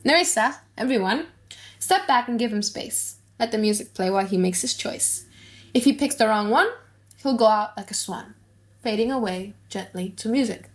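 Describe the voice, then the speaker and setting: A woman voicing a lovestruck, anxious young heiress in an audiobook play, with halting, playful delivery and small sighs and giggles. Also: on the high side, animated, lightly acted. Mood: resolute, wistful.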